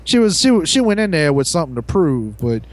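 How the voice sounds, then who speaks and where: A man's voice: on the high side, sing-song, a little fast. A man co-hosting a podcast, telling stories in casual, profane banter and often backtracking as he goes. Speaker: podcast co-host.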